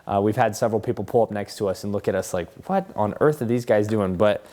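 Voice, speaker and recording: A man's voice: on the low side, fairly even intonation, fast talker, mellow. A man with an upbeat, enthusiastic way of talking, hosting a car channel and giving a casual product review to camera.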